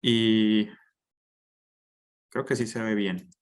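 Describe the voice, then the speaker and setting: A man's voice: on the low side, fairly even intonation, very slow. Young Colombian man giving an informal lecture. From Bucaramanga, Colombia. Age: young adult. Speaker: guest lecturer, doctoral student.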